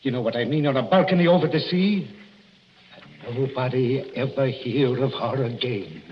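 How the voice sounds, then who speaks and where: A man's voice: medium pitch, lightly acted. Actor as ominous Italian boatman, muffled old radio. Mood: haunted storytelling. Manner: halting broken English, ominous. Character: superstitious Italian boatman, fearful and ominous, in broken English. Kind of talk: 1940s radio mystery acting. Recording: muffled, noisy 1940s radio recording.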